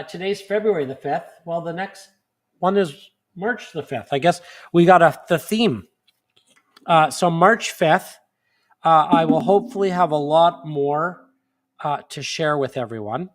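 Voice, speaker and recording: A man hosting a monthly live stream in casual chat, sniffly, rambling with fillers and restarts. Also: a little high.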